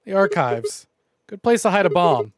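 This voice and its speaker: A man's voice: sits high, sing-song, normal speed, dry recording. A man live-streaming a visual novel, reading lines aloud theatrically and adding playful, joking commentary. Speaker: game streamer.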